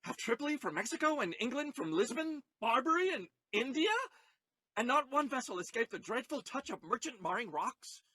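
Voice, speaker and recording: An actor playing an earnest, distraught young gentleman lover in a Shakespearean audio play, with theatrical, declamatory delivery. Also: very high voice, sing-song, moderate pace, husky, no echo, exaggerated voice, rough recording. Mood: anxious.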